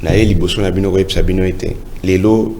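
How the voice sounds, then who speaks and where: A man's voice: low-pitched, fairly even intonation, fast talker, vocal fry. A man with a Congolese French accent, a local council candidate speaking earnestly in an interview and exhorting voters, switching between French and Lingala. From Kinshasa, Congo. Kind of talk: campaign interview. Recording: loud background noise.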